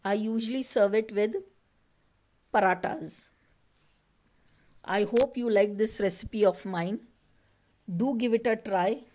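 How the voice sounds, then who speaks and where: A woman's voice: mid-range voice, darkish tone. A woman from India, narrating a recipe step by step as a cooking channel host over her footage. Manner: slow, step-by-step instructing.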